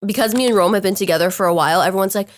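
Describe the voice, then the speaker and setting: A woman's voice: mid-range voice, racing. A woman in her twenties with a stuffy, nasal voice, chatting frankly and rambling a little. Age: 20s. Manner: rambling, frank, searching for words. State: stuffy nose. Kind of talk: casual chat.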